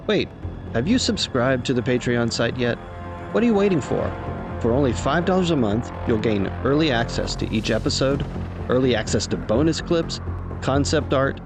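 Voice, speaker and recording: A man's voice: mid-range voice, sing-song, normal speed. Male announcer for podcast credits and promo. Mid-range voice, scripted read, prominent music bed. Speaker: show's writer, producer and announcer.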